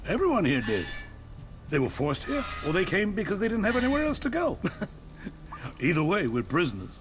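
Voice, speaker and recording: Manic, wildly swooping inventor. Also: male voice, fairly high pitch, huge pitch swings, brisk, background noise. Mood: sly. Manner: theatrical, gleeful, grandiose. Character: eccentric, manic doomsday weapon inventor. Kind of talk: video game voice acting.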